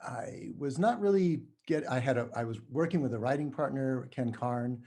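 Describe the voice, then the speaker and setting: Halting middle-aged man in casual conversation. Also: mid-range voice, warm tone, husky. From New Mexico, United States. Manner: halting, self-correcting. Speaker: podcast guest, writer.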